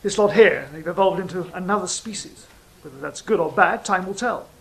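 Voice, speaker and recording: An actor playing a sly, cynical fallen angel in an audio drama, speaking in a measured, philosophical, teasing way. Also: mid-range voice, creaky, close to an everyday voice, rough recording. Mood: sardonic, philosophical. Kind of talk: theatrical audio drama dialogue and monologue.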